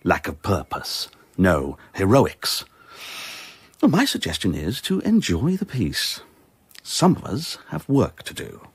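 An actor playing a brusque, dismissive police inspector with a British accent and a patronising, sceptical manner in a radio drama. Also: male voice, deep voice, huge pitch swings, slow, breathy edge, dry recording, heavily acted. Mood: brisk, dismissive.

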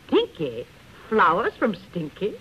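Animated, affected society lady. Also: mid-range voice, a little slow. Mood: amused, gossipy. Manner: affected, theatrical. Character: grand, gushing society lady, cajoling and worldly. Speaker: actor in a radio drama. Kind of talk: 1930s radio acting. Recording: muffled, hissy 1930s radio recording.

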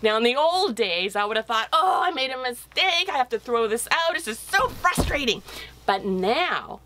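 A woman's voice: a little high, very animated, moderate pace, sharp tone, low-quality recording. A middle-aged woman giving an improvised drawing lesson for children, thinking aloud in a playful, encouraging way as she draws. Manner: playful, encouraging, talking in bursts while drawing. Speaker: children's author-illustrator giving a drawing demonstration.

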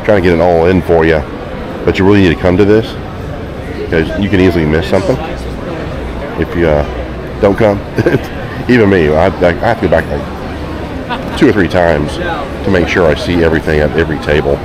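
A man's voice: low voice, moderate pace, long pauses. A man giving a chatty, enthusiastic walkthrough of a convention hall, filmed on a handheld camera. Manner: enthusiastic, chatty, plenty of fillers. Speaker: vlogger touring a convention. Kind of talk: casual walkthrough commentary. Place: large indoor convention hall. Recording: crowded hall background noise. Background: crowd chatter.

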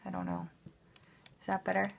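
A woman's voice: fairly low pitch, monotone, normal speed, creaky, slight background noise. A woman talking casually and off the cuff, chatty and offhand, often interrupting herself as she shows items. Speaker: craft haul vlogger. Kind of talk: casual unscripted show-and-tell. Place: indoors at a craft table.

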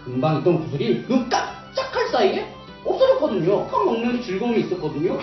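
A woman telling a fairy tale to children as an animated read-aloud narrator. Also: medium pitch, quick pace, recorded from a distance, faint music behind. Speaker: children's storyteller. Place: echoey hall at a book concert. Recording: dull, very echoey hall from afar.

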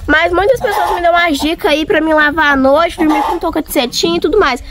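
A young woman, hoarse from a cold, chatting casually and directly to her viewers while walking around a farm outdoors. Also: very high-pitched, fast, fairly bright tone, slight background noise. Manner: chatty, talking straight to viewers. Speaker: vlogger. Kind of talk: casual vlog chat.

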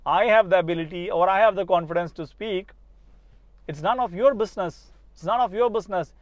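A man's voice: high-pitched, a little fast, no echo. A man in his thirties from Kerala with a Malayali English accent, a spoken English coach giving an earnest talk straight to the viewer and often restarting his phrases. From Kerala, India. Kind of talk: casual motivational talk to camera. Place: inside a parked car. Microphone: lapel mic.

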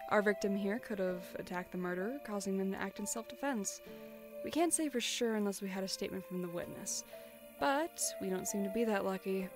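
A woman's voice: medium pitch, fairly quick, close to an everyday voice. A woman playing a stern, analytical investigator in a fantasy audio drama, clipped and sardonic. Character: stern, accusing female investigator in a fantasy world. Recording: music playing behind. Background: background music.

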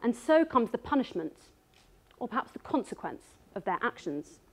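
A woman in her thirties speaks on a darkened stage in a voice set slightly high. Her delivery is earnest and measured as she works through prepared notes, picked up by a stage microphone. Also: sing-song, a little hiss or hum. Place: dark stage before an audience.

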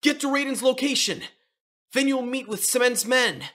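An eager young henchwoman as a fan performer puts her on speaks high and sharp. She is proud and insistent, a devoted underling in a manga drama. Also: normal speed. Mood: urgent.

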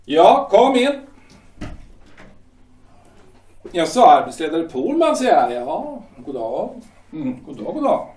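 An actor playing a genial, patronizing shipyard director in an audio drama. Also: male voice, fairly high pitch, very animated, a little mellow, far from the mic. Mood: brisk welcome. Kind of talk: amateur audio drama acting. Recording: echoey room, voice off-mic.

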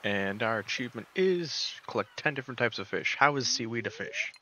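A young man giving relaxed, joking commentary while playing a game, with a soft, soothing voice and mumbled asides. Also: medium pitch, brisk, a little hiss or hum. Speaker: gaming streamer. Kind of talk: casual gameplay commentary.

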